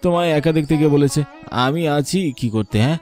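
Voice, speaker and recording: A man's voice: a little high, brisk, a little mellow, faint music behind, lightly acted. Man as a practical, chiding grown son. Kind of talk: cartoon voice acting.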